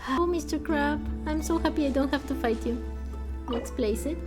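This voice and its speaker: A woman's voice: high-pitched, normal speed. A woman streamer giving casual, playful, giggly commentary as she plays through a game. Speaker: let's play streamer. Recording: music behind the voice. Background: music.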